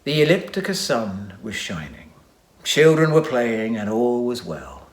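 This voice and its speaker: A man narrating a children's adventure story with dramatic reading and hushed asides. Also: medium pitch, big pitch swings, a little slow. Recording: echoey room.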